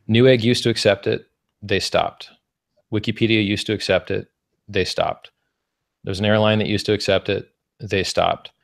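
A man's voice: lowish voice, a bit bright. A man in his thirties hosting a debate, laying out arguments point by point with frequent uhs. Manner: lists points methodically, fillers of uh. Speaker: host, debate moderator. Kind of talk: debate moderating. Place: ornate room with candelabra, indoors. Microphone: studio mic on arm.

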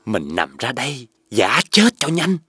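A woman's voice: a bass voice, very animated, measured pace, fairly bright tone, husky, no echo, studio quality, exaggerated voice. A woman playing a scheming, weary, greedy villager in a fairy-tale cartoon. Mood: sly, weary.

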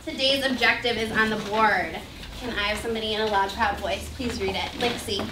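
A young woman teaching a class, giving clear directions and prompting students with questions. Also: mid-range voice, fairly even intonation, a little fast, far from the mic. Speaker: math teacher. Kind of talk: classroom instruction to children. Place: indoor school classroom with students. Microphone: distant camera microphone. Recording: roomy, noisy classroom, picked up from afar.

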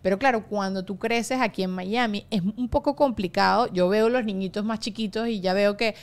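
A woman in her thirties hosting a studio podcast, chatty and quick to affirm her guest. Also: mid-range voice, clean tone. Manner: chatty, frequent affirming "sí, claro". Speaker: podcast host, journalist. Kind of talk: casual podcast interview. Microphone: studio microphone on stand.

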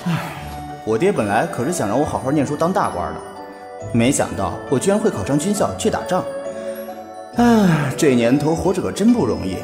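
A man's voice: medium pitch, very animated, moderate pace, reverberant, in a large hall, over music, lightly acted. An actor playing a weary, wistful young army cadet who sighs as he talks in an audio drama. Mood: weary, downcast. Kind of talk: Chinese audio drama acting.

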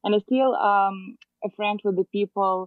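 A woman's voice: mid-range voice, a little fast, no room sound. A woman in her thirties from Moldova with a Russian accent, a realtor talking through a video call interview in a chatty, hesitant way with many fillers. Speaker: guest realtor. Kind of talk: casual interview. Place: home room, indoors. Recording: dry tinny video call audio.

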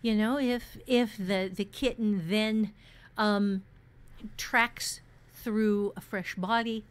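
A woman co-hosting a podcast, giving casual writing advice in a halting way, repeating words for emphasis. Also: mid-range voice, fairly even intonation, clear, resonant tone. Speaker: podcast host, experienced fiction writer. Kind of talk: casual advice discussion about fiction writing.

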